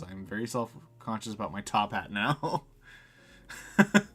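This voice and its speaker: A man doing casual live let's play commentary, chatting informally and reading game text aloud as he reacts. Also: fairly low pitch. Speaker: game streamer.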